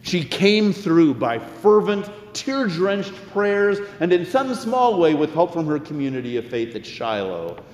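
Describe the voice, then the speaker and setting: A man preaching a sermon as a pastor, with deliberate, emphatic phrasing and conversational asides. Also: on the high side, big pitch swings, a little mellow. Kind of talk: sermon, expository storytelling with quoted scripture. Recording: echoey hall with long reverb.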